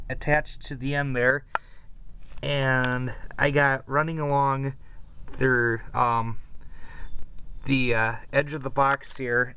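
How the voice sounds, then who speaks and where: Halting man on a noisy home recording. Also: medium pitch, bright, forceful tone. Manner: hesitant, self-deprecating, halting. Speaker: hobbyist video maker. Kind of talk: casual unscripted demonstration. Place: indoors in a carpeted room at home. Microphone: handheld camera mic. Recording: noisy home camera recording, radio static.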